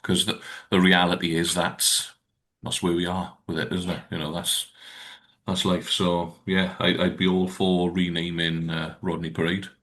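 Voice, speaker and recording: A man's voice: deep voice, level tone, moderate pace, fairly bright tone. A man co-hosting a podcast in casual rugby chat, rambling and hesitant. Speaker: podcast co-host.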